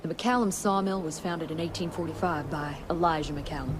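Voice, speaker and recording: A woman's voice: deep voice, a little fast, slight background noise, close to an everyday voice. An actress playing a proud, formal woman scientist in a video game, speaking in a clipped and coldly polite way. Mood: coolly explanatory. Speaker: doctor and head of an agency cell. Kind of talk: video game cutscene acting. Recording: music behind the voice.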